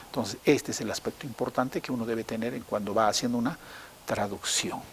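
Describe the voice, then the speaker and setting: A man's voice: medium pitch, a little fast. A man giving an explanatory interview in a didactic way, rephrasing his points step by step. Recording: noticeable hiss behind the voice.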